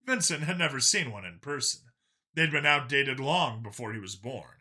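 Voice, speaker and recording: A man's voice: medium pitch, animated, moderate pace, bright, forceful tone. A man reading a science fiction story as an audiobook narrator, in a dramatic scripted style.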